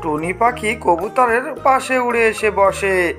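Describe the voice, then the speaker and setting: A woman's voice: fairly high pitch, slow pace. A woman narrating a scripted children's story as the storyteller. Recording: background music. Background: music.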